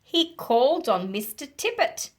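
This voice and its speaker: A woman reads a picture book aloud for young listeners. Her voice sits fairly high and swings widely in pitch, and she takes her time with each phrase, shaping it expressively. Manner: slow, deliberate, animated delivery for young listeners. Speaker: storyteller reading aloud to children. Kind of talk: expressive children's storybook read-aloud.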